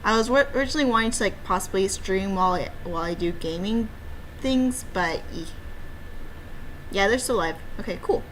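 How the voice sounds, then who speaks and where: Female streamer, medium pitch, playful off-the-cuff rambling with cutesy interjections, noisy background. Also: a little fast, fairly bright tone. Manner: playful, uses cutesy exclamations. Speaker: streamer and amateur game developer. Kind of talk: casual rambling livestream chat. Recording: clear background noise.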